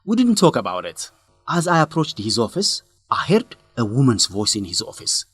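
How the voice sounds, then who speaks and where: An actor playing an earnest male journalist in a radio drama, speaking expressively in simple, deliberate sentences. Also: mid-range voice, huge pitch swings, fairly bright tone, breathy edge, dry recording, soft background music, full character voice. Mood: serious, recounting. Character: earnest young male journalist breaking bad news to a friend. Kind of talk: English-learning radio drama acting.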